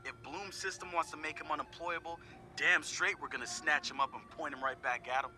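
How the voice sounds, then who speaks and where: A man's voice: fairly high pitch, fairly even intonation, a little fast, lightly acted. An actor playing a laid-back young male hacker who sizes up his teammates in a game audio log, talking casually with profane, wry asides. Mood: candid. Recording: clear music behind the voice.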